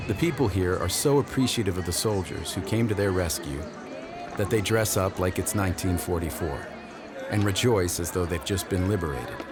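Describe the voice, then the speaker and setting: Deep-voiced man narrating a documentary. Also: expressive, normal speed. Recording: voice-over with loud music behind.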